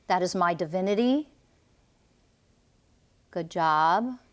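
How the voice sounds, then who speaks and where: A woman's voice: lowish voice, expressive, slow pace. A woman energy healer guiding a client through a healing session, commanding and intense, with blunt swearing.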